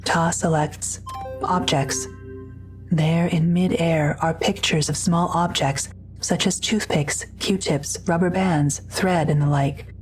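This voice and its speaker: A woman's voice: sits low, steady tone, takes it slowly, soft background music, rough recording. A woman reading scripted audio drama narration in a neutral, descriptive manner.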